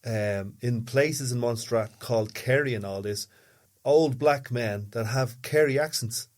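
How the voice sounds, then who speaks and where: A man's voice: mid-range voice, a little slow, darkish tone. An Irishman co-hosting a podcast in casual conversational chat. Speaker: podcast co-host.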